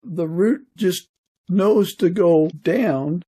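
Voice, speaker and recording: A man speaking as a podcast guest in casual, reflective conversation, thoughtful and a little halting. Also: sits high, dark tone, slightly rough.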